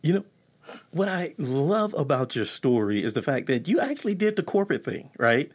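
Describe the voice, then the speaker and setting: Male interview host, eager and excitable, casual and chatty. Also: a little high, normal speed, slightly rough, lo-fi sound. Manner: enthusiastic, excitable, asks many questions. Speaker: podcast host.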